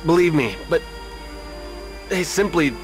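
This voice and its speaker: Dying wounded man, fairly high voice, halting, resigned, video game cutscene. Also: lively intonation, music in the background. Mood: pained. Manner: halting, weak, broken phrases.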